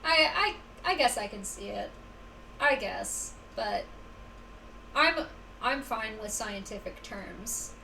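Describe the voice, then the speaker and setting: A woman's voice: on the high side, lively intonation, slow pace, vocal fry, pushed and bright. A woman chatting casually as she hosts a live game stream. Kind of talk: casual livestream chat. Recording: distant mic, faint background noise.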